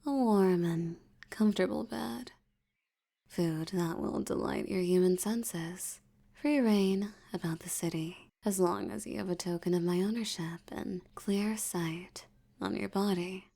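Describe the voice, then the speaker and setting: A woman playing a possessive, seductive vampire in an intimate audio roleplay, teasing and commanding, with a breathy voice that often drops toward a whisper. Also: mid-range voice, mellow. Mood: coolly menacing, bargaining. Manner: slow, teasing, commanding, condescending. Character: dominant, possessive, seductive wealthy female vampire.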